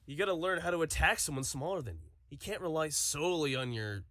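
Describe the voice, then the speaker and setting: A man's voice: fairly high pitch, expressive, fast, a bit bright, no echo. A man playing an eager, easily flustered young superhero in an audio drama. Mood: excited.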